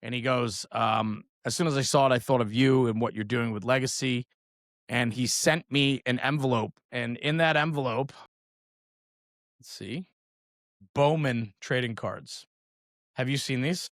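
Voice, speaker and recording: A man's voice: medium pitch, a little slow, dry recording, clean studio sound. A middle-aged man in a casual, enthusiastic show-and-tell chat, speaking informally with slang and often checking for agreement. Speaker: guest, trading card brand founder.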